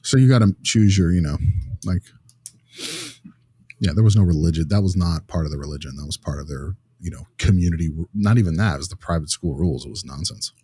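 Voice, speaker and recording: Low-pitched, blunt podcast man. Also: brisk, dark tone, breathy edge, no echo. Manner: blunt, opinionated, informal. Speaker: podcast co-host and clinical discussant. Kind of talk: casual podcast discussion.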